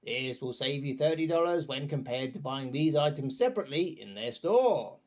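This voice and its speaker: A man's voice: mid-range voice, animated, moderate pace, darkish tone. A man presenting a scripted review in a chatty, tongue-in-cheek manner with playful asides.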